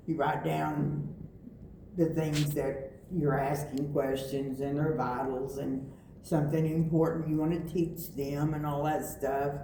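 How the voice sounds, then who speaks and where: A middle-aged woman giving a personal testimony, halting with many fillers and restarts. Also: sits low, dark tone, slight background noise. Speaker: testimony speaker. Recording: echoey room, voice from far off.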